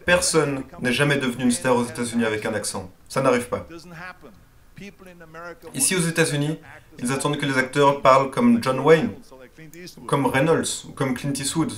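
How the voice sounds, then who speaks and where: A man's voice: medium pitch, normal speed, pauses often, a bit bright. A man reading a first-person motivational speech as a dubbed voice-over, in an earnest and emphatic way. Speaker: voice-over translator.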